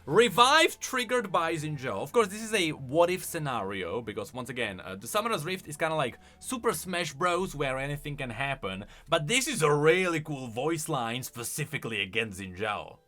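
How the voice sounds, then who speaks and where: A man giving casual, opinionated commentary as a gaming YouTuber, explaining as he goes in an animated way. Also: a little high, normal speed, hardly any pauses. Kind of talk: casual commentary on game voice lines. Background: faint music.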